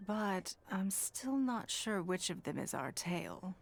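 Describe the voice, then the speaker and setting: An actress playing a shrewd, composed noblewoman and political leader in a video game, speaking formally and deliberately. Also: mid-range voice, very animated, gravelly, full character voice. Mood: calm, watchful. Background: background music.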